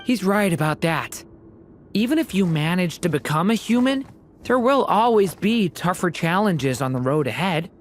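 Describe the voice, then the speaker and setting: A woman voicing a gentle, sincere young boy in a video game, reassuring and encouraging. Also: lowish voice, animated, moderate pace, slight background noise, natural voice. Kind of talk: video game character acting.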